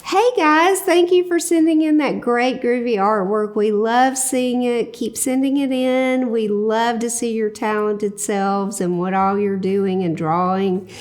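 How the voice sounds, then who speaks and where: Woman reading a picture book aloud to kids, high, lively, slow. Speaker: children's story reader.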